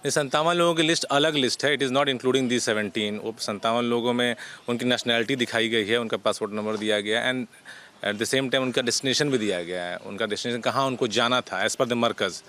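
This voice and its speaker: A man with an Indian accent speaking as a senior police officer in a press interview, official and explanatory with halting hesitations. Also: mid-range voice, fairly even intonation, pushed and bright. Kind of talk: press interview answers, mixing Hindi and English.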